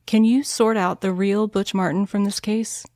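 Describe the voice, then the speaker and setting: A woman hosts a true crime podcast and reads her narration in a calm, soothing, measured voice. The sound is dry and close to the mic, with no room around it. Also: medium pitch, dry recording. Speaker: podcast host.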